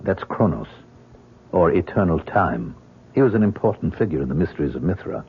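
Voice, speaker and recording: A suave occult professor with quiet menace in a vintage radio play. His voice is deep and very husky, moving freely in pitch. The old recording is narrow and muffled. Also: big pitch swings, fairly quick, full character voice. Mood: genial, measured. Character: suave, secretly sinister male occult professor. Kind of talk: 1970s radio drama acting.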